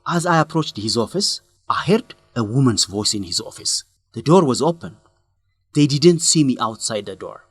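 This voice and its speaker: An actor playing an earnest male journalist in a radio drama, speaking expressively in simple, deliberate sentences. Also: mid-range voice, animated, breathy edge, dry recording. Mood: serious, recounting. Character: earnest young male journalist breaking bad news to a friend. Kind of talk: English-learning radio drama acting.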